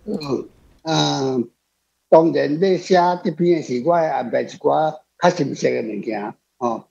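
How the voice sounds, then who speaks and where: A man's voice: a little high, unhurried, vocal fry, a little mellow. An older man with a Taiwanese accent talking casually as a guest author, rambling and adding frequent tag particles. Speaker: author answering readers as a guest. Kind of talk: casual spoken discussion. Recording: slightly dull, lo-fi sound.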